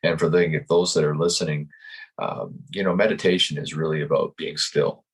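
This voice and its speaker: Man, 50s, very low voice, husky, calm, reflective, restarts often, video call. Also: fairly quick. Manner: calm, searching, many restarts and repeated words. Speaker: podcast guest. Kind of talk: reflective interview talk.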